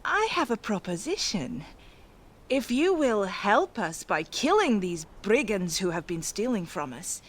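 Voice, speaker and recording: A sharp-tongued Viking-age farmer's wife speaks in a video game. She takes her time, measured and wry, in a medium-pitched voice made for persuading. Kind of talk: dramatic video game acting.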